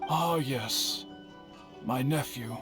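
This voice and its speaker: An actor playing a courteous, earnest older swordsmith uncle in a video game, speaking formally and in measured phrases. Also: male voice, mid-range voice. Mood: troubled, resigned. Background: music.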